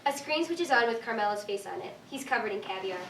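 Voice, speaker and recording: A woman's voice: a little high, lively intonation, fast talker, a bit bright. A woman reading scripted stage directions as narrator at a staged reading of a cartoon. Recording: echoey room, distant mic, faint noise.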